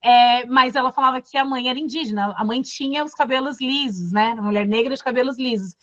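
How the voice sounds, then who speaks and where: Soft-toned Brazilian woman teaching. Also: sits high, a little fast, no echo, dull audio. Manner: thoughtful, many fillers and restarts. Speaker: course facilitator. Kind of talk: casual classroom talk.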